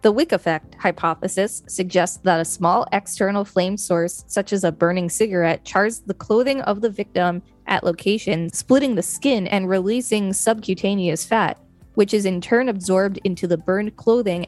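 A woman's voice: mid-range voice, normal speed, a little mellow, faint music behind. A woman co-hosting a podcast, reading an article aloud and adding casual asides. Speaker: podcast co-host.

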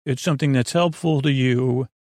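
A man's voice: medium pitch, moderate pace, darkish tone, no room sound, clean studio sound. A man hosting a bedtime podcast, talking in a meandering, hesitant, soothing way that keeps drifting into digressions. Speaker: podcast host. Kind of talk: rambling bedtime story podcast monologue.

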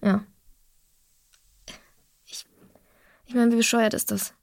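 A woman's voice: on the high side, little pitch movement, slow, gravelly. A woman playing a troubled young woman in an audio drama, speaking seriously and naturally about a friend. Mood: troubled, bitter. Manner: serious, conversational.

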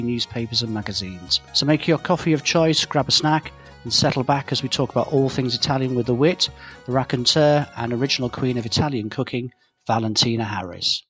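A man's voice: mid-range voice, fairly bright tone. Older male podcast host. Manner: friendly, hesitant with many fillers. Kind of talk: podcast interview.

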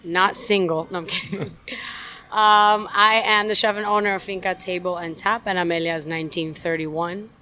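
A woman's voice: fairly low pitch, steady tone, normal speed, sharp tone. A thirty-year-old woman with a Miami American accent, chatting candidly and jokingly as a guest. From Miami, USA. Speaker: podcast guest, chef and restaurant owner. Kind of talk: casual interview chat.

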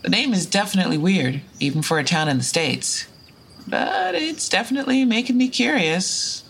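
An actress playing a curious, brash traveller, musing observantly in an audio drama monologue that sounds like a spoken log. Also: female voice, medium pitch, big pitch swings, normal speed, creaky, a bit bright, slight background noise. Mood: curious, appraising. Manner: observant, musing, casually profane. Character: curious, brash female traveller sizing up a strange town.

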